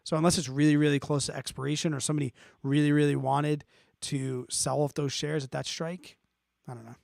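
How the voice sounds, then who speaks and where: A man's voice: a little high, little pitch movement, a little fast, creaky, a little mellow, no echo, studio quality. A man hosting an investing live stream, explaining off the cuff in an informal, blunt way, thinking aloud and checking in as he answers viewer questions.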